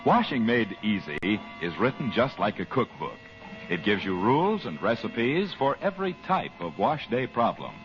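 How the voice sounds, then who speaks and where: A man's voice: sing-song, normal speed. A man announcing a soap giveaway in old radio commercial style, upbeat and persuasive. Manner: upbeat, persuasive pitch delivery. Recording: dull old radio broadcast with music bed.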